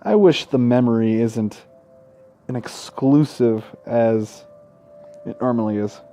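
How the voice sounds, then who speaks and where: A young man narrates a Let's Play in a casual, unpolished way. His voice is warm and mellow at an average male pitch. He speaks haltingly and trips over words now and then. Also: soft background music. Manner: halting, stumbles over words while reading. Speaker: gaming video host.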